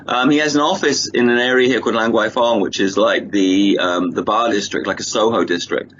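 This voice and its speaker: A man's voice: lowish voice, steady tone, fairly quick, a bit bright, a little hiss or hum. Talkative man, dull lo-fi sound. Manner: talkative, jokey, enthusiastic. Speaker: radio guest, filmmaker and author. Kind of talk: casual interview chat. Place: remote call to a radio show. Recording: dull lo-fi radio call.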